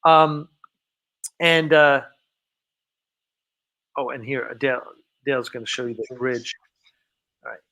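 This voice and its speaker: A man hosting a casual, unscripted livestream, talking in a halting, rambling way and often interrupting himself. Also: mid-range voice, bright, forceful tone, rough recording. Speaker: livestream host.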